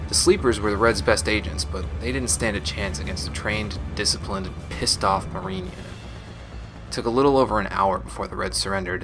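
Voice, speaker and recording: A man playing a resolute, guilt-burdened space marine, recording a measured, solemn confessional audio log. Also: lowish voice, lightly acted. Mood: sober, firm. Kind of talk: scripted sci-fi audio log monologue. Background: music.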